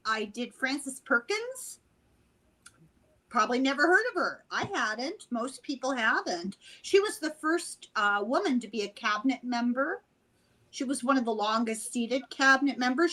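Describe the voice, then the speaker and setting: An older woman, born in Ireland and raised in America, talks as a guest on a video call. Her voice is bright and a little high, and she tells family stories in an animated, chatty way. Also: poor audio. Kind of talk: casual chat.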